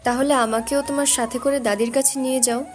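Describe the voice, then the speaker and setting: A woman's voice: sits high, steady tone, a little fast, soft background music, close to an everyday voice. A woman playing an affectionate, agreeable young village wife in an audio story drama, speaking sweetly. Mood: affectionate, eager.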